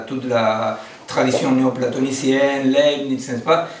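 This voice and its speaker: A man from Argentina, giving a philosophy seminar lecture, digressive, with many fillers and rhetorical questions. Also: on the high side. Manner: digressive, frequent fillers and rhetorical "n'est-ce pas". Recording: roomy, distant mic.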